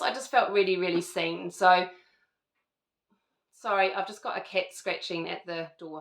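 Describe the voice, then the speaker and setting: A woman's voice: medium pitch, reverberant. A middle-aged woman with a New Zealand accent, chatting casually to camera at home, rambling with lots of fillers and restarts. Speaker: vlogger. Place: at home indoors.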